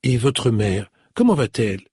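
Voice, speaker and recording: A man's voice: mid-range voice, very animated, a little mellow, slightly rough, no echo, studio quality. A man voicing a polite, composed middle-aged woman in an audiobook, in a slightly raised voice. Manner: courteous, measured.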